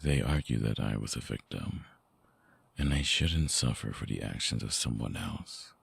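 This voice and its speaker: A man playing a brooding, vulnerable vampire ex-lover in an intimate ASMR audio drama, hushed and halting, with a breathy, husky, gravelly voice close to the mic. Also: very deep voice, lively intonation, dry recording, full character voice. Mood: somber confession. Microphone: close binaural microphone, dry.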